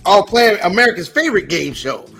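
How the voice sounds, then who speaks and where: Male co-host, lively-pitched wisecracker, clear music bed. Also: brisk. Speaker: podcast co-host. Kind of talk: casual chat.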